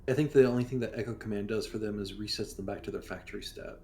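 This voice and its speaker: A man's voice: lowish voice, steady tone, fast, darkish tone, a little hiss or hum. A man playing a tabletop role-playing game, speaking in casual game-table conversation.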